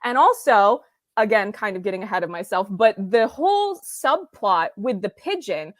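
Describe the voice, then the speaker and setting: A woman's voice: mid-range voice, sing-song, normal speed, no room sound, studio quality. A woman hosting a casual, analytical review discussion, speaking in earnest academic terms and acting out thoughts as she goes. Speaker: host of a series review discussion.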